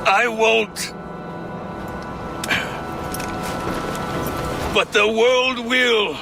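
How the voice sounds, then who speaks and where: An actor playing a stern, embittered assassin mentor with a gravelly voice in a dramatic video game. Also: male voice, extremely high pitch, steady tone, takes it slowly, music in the background, full character voice. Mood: defeated. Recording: loud orchestral score and ambience behind.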